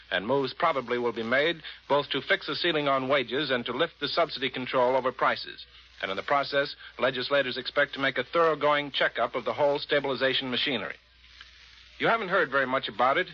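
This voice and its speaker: A man's voice: medium pitch, highs cut off. An American man working as a radio news reporter and interviewer, in scripted 1940s broadcast style.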